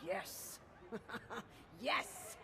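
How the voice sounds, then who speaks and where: A woman voicing a bubbly, eager small female hunter in a game, playful and exclamatory. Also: drawn-out words. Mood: excited. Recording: clear background noise.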